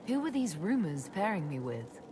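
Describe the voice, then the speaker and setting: A woman's voice: lowish voice, big pitch swings, moderate pace, noisy background. An actress playing a self-assured, courtly woman leader in a video game, composed and direct in her delivery. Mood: curious. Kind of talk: video game cut-scene acting.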